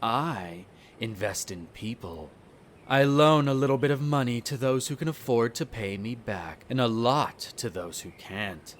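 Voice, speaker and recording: A man playing a smug, bitter vampire noble, the eldest son, in an audio roleplay, speaking in a haughty, sardonic way with drawn-out theatrical phrasing. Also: mid-range voice, expressive, a little hiss or hum, lightly acted. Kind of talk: scripted audio roleplay acting addressed to the listener.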